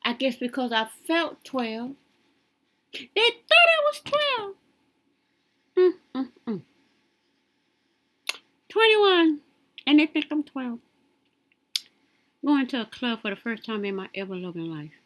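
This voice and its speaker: A woman in her late fifties rambling through her life story in a casual, lively way. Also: slow, pauses often, slight background noise. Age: 50s. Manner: lively, swoops high when quoting others.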